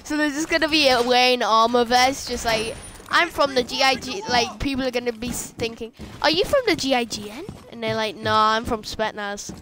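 A woman chatting and joking while playing a shooter game, getting loud and excited in fights. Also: on the high side, a little fast, hardly any pauses. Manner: chatty, jokey, shouts excitedly. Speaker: gameplay streamer. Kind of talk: casual gameplay chat.

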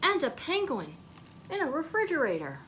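An excitable little storybook girl, acted out by a woman reader. The voice sits high and moves around eagerly, with exclamations. There is some background noise in the recording. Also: normal speed, exaggerated voice. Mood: delighted.

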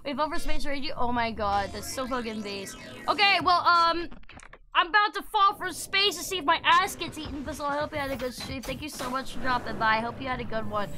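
A young woman streaming a game, sick and losing her voice, chatting casually, swearing and shrieking when startled. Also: sits high. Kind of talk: casual gameplay streaming chat. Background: faint game music.

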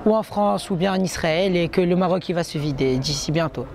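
A man's voice: sits high, brisk, vocal fry. A seventeen-year-old boy from Morocco, answering an interview earnestly and repeating words. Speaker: student. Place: courtyard at night. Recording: crowd chatter behind. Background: people chatting.

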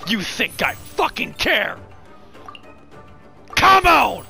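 A gaming streamer with a strained, worn-out voice, yelling excited trash talk at an opponent during a card game. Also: mid-range voice, drawn-out words, vocal fry, soft background music. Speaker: gaming content creator. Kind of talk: excited gameplay commentary.

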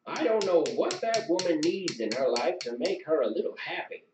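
A man's voice: on the high side, sing-song, normal speed, voice pushed up. A man voicing a sociable, outspoken old village regular with rustic, colloquial talk in a story. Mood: wry. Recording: roomy, distant mic, noisy with clicks.

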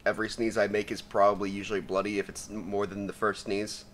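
A young man with a raspy voice giving casual, profane commentary while opening loot, swinging between mumbling and shouting. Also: fairly low pitch, little pitch movement, fairly quick. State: raspy from sneezing. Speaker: gaming YouTuber.

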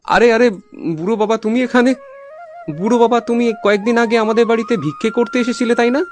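A man's voice: very high-pitched, steady tone, brisk, soft background music. A man playing a poor, honest boatman and father in a cartoon fairy tale, speaking in an earnest, plaintive way. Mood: surprised, excited.

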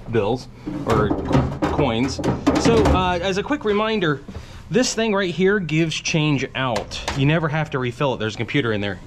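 A man casually narrating as he collects money from his laundromat, talking to viewers in an offhand running commentary. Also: medium pitch. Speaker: laundromat owner filming a vlog. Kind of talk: casual vlog narration while working. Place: small indoor laundromat. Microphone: head or chest-mounted action camera. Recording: noisy action camera audio with clicks.

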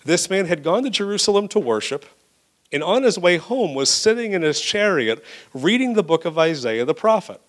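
A man's voice: high-pitched, very animated, normal speed. A man preaching a church sermon, reading scripture aloud and then urging his congregation to serve others. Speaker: pastor.

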